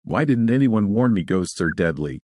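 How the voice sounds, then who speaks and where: A man's voice: fairly low pitch, lively intonation, normal speed, a little mellow, no echo, studio quality, close to an everyday voice. A man voicing a bewildered, frustrated space station worker in an audio story, questioning and exasperated.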